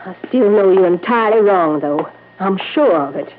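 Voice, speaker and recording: An actress playing an anxious, devoted wife in a 1950s radio drama, earnest and fretful. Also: female voice, medium pitch, animated, normal speed, natural voice. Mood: worried. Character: anxious, devoted wife, protective of her husband. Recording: narrow old radio recording with hum.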